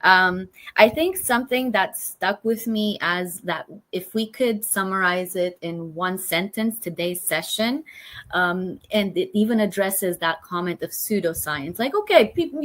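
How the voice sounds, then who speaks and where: A woman from Romania hosts a relaxed conversation in English. She talks in an eager, chatty way and fills gaps with "like" and "you know." Her pitch sits in the middle of the range. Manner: enthusiastic, chatty, many fillers. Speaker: host. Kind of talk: casual conversational interview.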